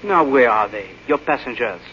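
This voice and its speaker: A secret police inspector with a middling pitch and a formal, insinuating manner. He is smooth but threatening, in radio-play style, on a muffled, hissy 1940s recording. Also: expressive, moderate pace, bright, forceful tone. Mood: insinuating, menacing.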